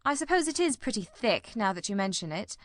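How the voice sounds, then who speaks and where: A woman voicing a brisk, dryly witty male detective in a Victorian story, with precise, commanding, formal speech. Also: mid-range voice, sing-song, a bit bright, no echo, studio quality. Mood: dry, genial. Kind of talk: dramatised reading of a Victorian detective novel.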